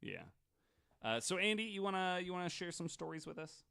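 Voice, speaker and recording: A man's voice: high-pitched, sing-song, fairly quick, creaky, sharp tone, clear, resonant tone, no room sound, studio quality. A man hosting a podcast, telling stories casually among friends and acting out both sides of retold dialogue, with some swearing. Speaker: podcast host.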